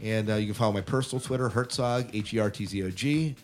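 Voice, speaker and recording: Low-voiced man hosting a casual podcast. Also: quick pace, soft background music. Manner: chatty and informal. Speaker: podcast host and interviewer. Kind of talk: casual, chatty podcast talk.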